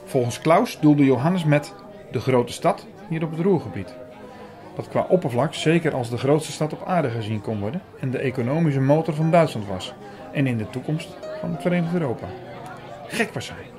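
A man's voice: on the high side, lively intonation, moderate pace, a little mellow, natural voice. An actor playing a sceptical, irritated man who narrates his own story in an audio drama. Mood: wary, reflective. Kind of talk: first-person audio drama narration and dialogue. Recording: music and crowd murmur behind.

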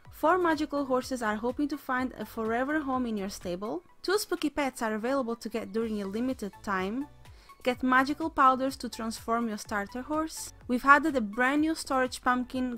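A woman hosting a game video in casual commentary, slightly hoarse as she is losing her voice, excitable about what she finds. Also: fairly high pitch. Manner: excitable, gasps at new sights. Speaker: gaming channel host.

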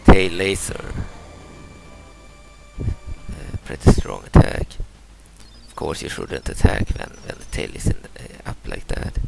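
Deep gravelly Swedish man commenting gameplay. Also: very animated, loud music behind the voice, rough recording. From Sweden. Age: 30s. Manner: halting, repeats words and restarts, explains moves step by step. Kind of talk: casual let's-play commentary.

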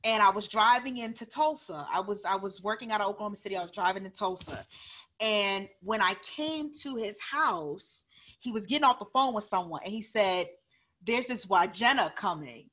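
Bright-voiced young woman on a video call. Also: medium pitch, moderate pace. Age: young adult. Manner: animated, gesturing, many restarts. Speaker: panelist author. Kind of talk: storytelling on a panel. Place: living room at home. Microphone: earphone mic on video call.

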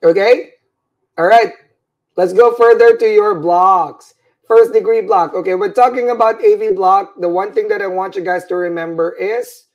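Man, Filipino accent, middle-aged, livestream lecturer, gravelly voice, repetitive check-ins, tired. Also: sits high, darkish tone, highs cut off. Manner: repetitive, checks in with "all right?" and "right?". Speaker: nursing instructor.

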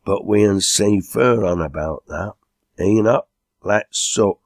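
A man's voice: fairly low pitch, takes it slowly, darkish tone, dry recording, studio quality. A man voicing a reluctant, easygoing old fellow with a Lancashire accent, rambling through homely speeches in a storybook.

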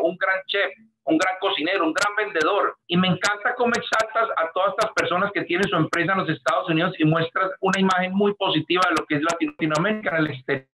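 A man's voice: high voice, rough recording. A middle-aged Colombian man with a Colombian accent, speaking earnestly and effusively in long flowing sentences on a video call from a room at home. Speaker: artist guest. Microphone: webcam on video call.

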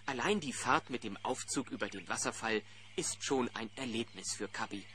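A man's voice: medium pitch, normal speed, pushed and bright. A man narrating a children's audio drama in a scripted storytelling style. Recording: noisy old cassette.

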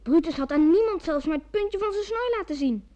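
A woman's voice: extremely high pitch, expressive, fairly quick, mellow. A woman narrating a scripted radio play as a storyteller. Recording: dull vintage radio play recording.

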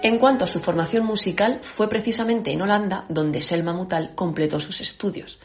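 A woman's voice: medium pitch, normal speed. A woman musicologist on a radio program, speaking in an informative way. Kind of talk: radio chat. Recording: dull audio with music.

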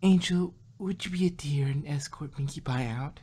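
A woman voicing a timid, gentle, polite young female pony in a fan audio drama, soft and hesitant. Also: deep voice, normal speed, heavy background noise, natural voice. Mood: meek, pleading.

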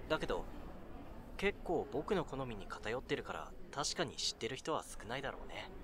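A man playing a mild, modest 19-year-old in an amateur audio drama, with a casual, gentle, self-effacing manner. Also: medium pitch, very animated, moderate pace, background noise, natural voice. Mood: friendly, modest. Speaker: narrator and lead actor in an audio drama. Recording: music behind the voice.

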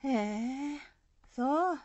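An actress playing a lively, teasing peasant wife in a radio folktale, with exclamatory country speech. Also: female voice, high voice, very slow, no echo, dull audio, exaggerated voice. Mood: surprised. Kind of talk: radio drama acting.

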